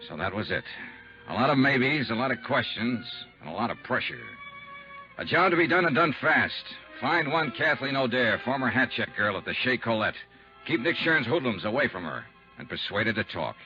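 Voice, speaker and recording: An actor playing a tough, sardonic investigator who wisecracks and narrates in clipped hard-boiled style in a 1950s radio drama. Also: male voice, mid-range voice, sing-song, moderate pace, pushed and bright, soft background music. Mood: tense narration. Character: tough, sardonic, unbribable male insurance investigator. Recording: dull, noisy 1950s radio recording.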